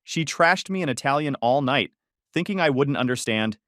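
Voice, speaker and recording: A man's voice: medium pitch, expressive, moderate pace, a bit bright, no echo, studio quality. A man in his twenties narrating his own story in a sarcastic, wry tone. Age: 20s. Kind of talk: scripted first-person story narration.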